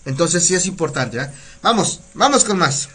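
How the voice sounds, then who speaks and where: A middle-aged Mexican man with a Mexican Spanish accent teaches vocabulary on a live stream, chatty and quick to laugh. His voice sits high for a man and sounds bright and forward. He slows down and repeats each word carefully so listeners can follow. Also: expressive, husky. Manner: chatty, laughs often, repeats words slowly to teach pronunciation. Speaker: community radio host teaching Nahuatl. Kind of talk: casual live language lesson, reading viewer comments.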